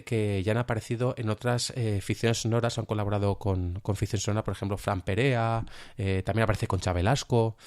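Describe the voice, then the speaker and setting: Male podcast host, Castilian Spanish accent, lowish pitch; informal, enthusiastic and rambling conversational review style. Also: a little mellow. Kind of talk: informal spoken review of radio dramas.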